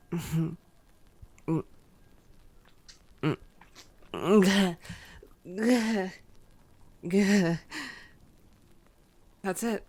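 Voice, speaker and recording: A woman's voice: fairly low pitch, a little mellow. A woman playing a sardonic, teasing vampire in an ASMR roleplay, speaking with exasperated sarcasm and casual swearing. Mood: disgusted, grumbling. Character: sardonic, teasing female vampire, wounded and grumbling.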